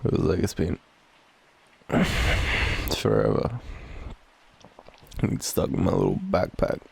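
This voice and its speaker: A man's voice: low voice, very animated, takes it slowly, creaky, warm tone, slightly rough. A tired man with an Australian accent, speaking sleepily and affectionately in an intimate bedtime boyfriend roleplay. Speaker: audio roleplay performer playing a boyfriend.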